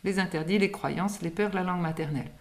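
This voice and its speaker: A woman's voice: lowish voice, fast. A woman from France, a singing teacher and author, explaining patiently in an interview with everyday examples and light laughs.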